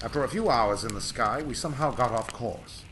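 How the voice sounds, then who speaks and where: A man's voice: medium pitch, fairly quick, background noise, natural voice. A man playing a proud, curt aviator in old-time radio style, with formal, old-fashioned diction. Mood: grave. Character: proud male aviator home from three lost years, curt, formal. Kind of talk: 1940s radio acting.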